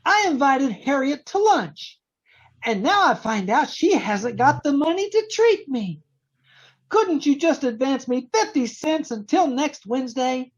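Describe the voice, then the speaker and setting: A man's voice: very high voice, dull audio, heavily acted. A man playing a gushing, cheeky teenage schoolboy in old-time radio comedy style over a video call. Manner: exaggerated, gushing, slow drawn-out delivery.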